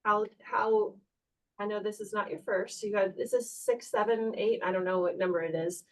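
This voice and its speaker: A woman hosting a book club from a bookstore, asking a guest questions over a video call in a friendly, slightly halting way. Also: medium pitch, fairly even intonation, recorded from a distance, poor audio. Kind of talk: casual group video chat.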